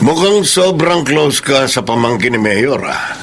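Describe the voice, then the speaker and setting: An actor playing a disapproving, resentful middle-aged Filipino father in a radio drama, speaking in a measured, emphatic way. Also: medium pitch, animated, a bit bright, slight background noise. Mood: stern, disapproving.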